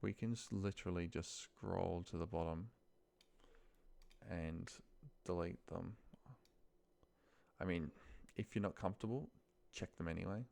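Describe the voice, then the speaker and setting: A man's voice: sits low, measured pace, vocal fry, a little mellow. A man giving a casual screen-share walkthrough, thinking aloud and muttering to himself in short phrases. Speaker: office trainer showing a data task. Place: indoors at a computer, screen recording.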